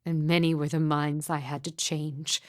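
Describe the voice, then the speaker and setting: A woman playing a grieving, mystical midwife in a fantasy game, speaking in a solemn, formal, measured way with deliberate pauses. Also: low-pitched, flat delivery, a little mellow, dry recording, studio quality, natural voice. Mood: sorrowful, haunted. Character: grieving mother, solemn sorrowful mystic midwife with haunted calm. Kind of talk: scripted fantasy game dialogue.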